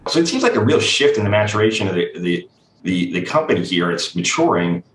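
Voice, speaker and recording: Male finance commentator, very low pitch, brisk conversational delivery, roomy echoing sound. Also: very animated, fairly bright tone, slight background noise. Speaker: financial analyst interviewee. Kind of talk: conversational TV analysis.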